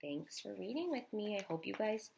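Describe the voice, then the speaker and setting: A woman's voice: medium pitch, big pitch swings, moderate pace, gravelly, noisy background. A woman teacher narrating a children's story expressively, with asides and guiding questions for her listeners. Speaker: elementary school teacher.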